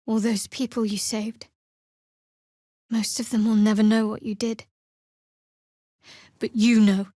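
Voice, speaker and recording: A woman playing a guarded, sardonic young cleric of a dark goddess in a video game, speaking in a dry, measured, wry way. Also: a little high, dry recording. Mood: solemn, emotional. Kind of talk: video game character voice acting.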